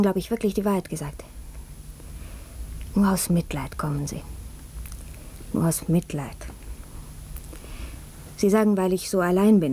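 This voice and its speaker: A woman's voice: mid-range voice, natural voice. An actress as a proud, bitter young woman. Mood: hurt, bitter. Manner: imperious, sarcastic, impassioned. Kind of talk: radio play acting.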